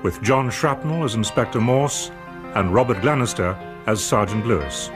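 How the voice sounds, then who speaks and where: Male announcer over loud music. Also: lowish voice, animated, moderate pace. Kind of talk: scripted radio drama introduction.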